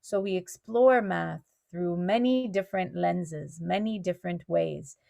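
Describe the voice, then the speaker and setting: Gravelly-voiced teacher woman, patient. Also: on the low side, measured pace, mellow. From Canada. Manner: patient, explains things step by step. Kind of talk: answering student questions on a video call. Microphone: video call.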